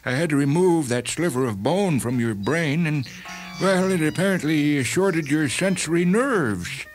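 An actor playing an uneasy, apologetic doctor in a radio drama, speaking hesitantly and haltingly. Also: male voice, a little high, expressive, soft background music. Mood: uneasy, explaining.

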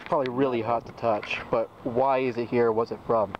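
A young man narrates his walk through an abandoned tennis court, chatty and rambling as he points things out. His voice sits in a mid range for a man. It comes through a handheld camera mic outdoors, with clear background noise all around. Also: medium pitch, fairly even intonation, fairly quick, muffled recording. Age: young adult. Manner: rambling, chatty, laughs often. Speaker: vlogger. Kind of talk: casual exploring vlog.

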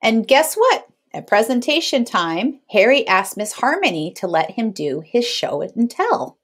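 A woman in her thirties reading a picture book aloud to children in an expressive, teacherly way. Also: fairly high pitch, unhurried. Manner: teacherly, checks in with listeners.

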